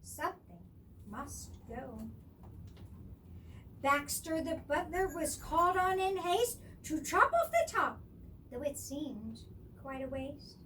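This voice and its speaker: A woman's voice: high voice, sing-song, unhurried, pushed and bright. A woman reading a rhyming picture book aloud expressively and theatrically, with chatty asides. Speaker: storyteller. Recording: distant microphone with clear background noise.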